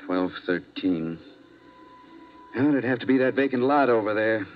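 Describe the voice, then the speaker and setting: A man's voice: fairly low pitch, expressive, a little slow, slight background noise. An American actor playing a terse, laconic man on a search in a 1950s radio drama. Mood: searching, alert. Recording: muffled vintage radio recording.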